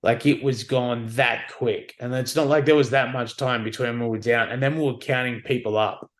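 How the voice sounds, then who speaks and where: An Australian man in his thirties, a former soldier, telling stories in a relaxed, candid podcast chat and acting out what others said. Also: medium pitch, moderate pace. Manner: relaxed, candid, acts out quotes. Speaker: guest, army veteran. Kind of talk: casual storytelling chat.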